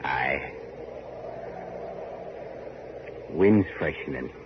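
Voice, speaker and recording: A very deep-voiced, bitter old boatman in a 1940s radio horror drama. He talks in terse, rustic phrases with taunting menace. The old recording is dull and heavily noisy. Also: expressive, slow, full character voice. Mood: terse, ominous. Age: older. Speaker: radio actor.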